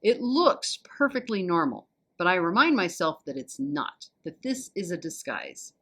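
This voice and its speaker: A woman with an American accent reading a children's novel aloud as an expressive storyteller. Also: on the low side, a little slow. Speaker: volunteer storybook reader.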